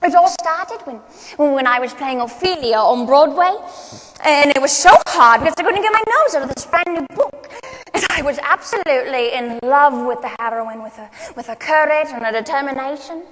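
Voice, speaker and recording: A woman's voice: high voice, lively intonation, normal speed, fairly bright tone. A woman playing a coquettish, fragile, grand aging film star in a gushing, dramatic stage monologue. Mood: nostalgic, dramatic. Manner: gushing, dramatic, calls people darling. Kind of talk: solo dramatic monologue performance. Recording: echoey hall, long reverb.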